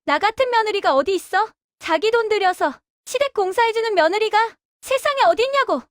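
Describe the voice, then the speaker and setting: Casting: woman as naive, smug young city daughter-in-law for story drama; very high voice, chatty, dry sound. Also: lively intonation, brisk, no room sound. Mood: smug, eager. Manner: chatty, self-congratulating. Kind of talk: audio drama acting.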